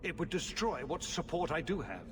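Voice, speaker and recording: A harried middle-aged nobleman who governs a city in a fantasy game. His fairly high voice swings widely, formal and exasperated, over noisy ambience. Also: big pitch swings, normal speed. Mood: weary, troubled. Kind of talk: dramatic video game acting. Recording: loud ambient noise behind.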